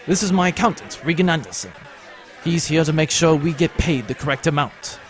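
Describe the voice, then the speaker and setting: An actor playing a cocky, flippant space pirate captain in an adventure audio drama, talking in a breezy, wry way. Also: male voice, on the high side, lively intonation, fairly quick, soft background music, lightly acted. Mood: smug, flippant.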